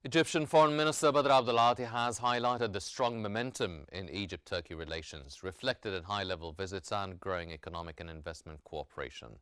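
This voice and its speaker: Deep-voiced man reading the news. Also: fairly bright tone, clean tone, dry recording, clean studio sound. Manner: formal, measured newsreader delivery. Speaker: news anchor. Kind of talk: scripted news bulletin reading.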